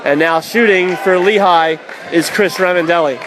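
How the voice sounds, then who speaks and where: A man calling live ice hockey play-by-play for radio in an excitable, vivid, dramatic manner. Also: high voice, normal speed. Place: indoor ice rink broadcast position. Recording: loud crowd and rink noise behind. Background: hockey crowd and rink ambience.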